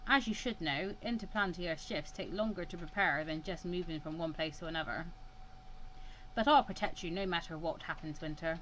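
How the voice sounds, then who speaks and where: A woman voicing a calm, brusque male mentor in a visual novel, measured and matter-of-fact. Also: on the low side, a little hiss or hum, voice pushed down, natural voice, poor audio. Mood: reassuring.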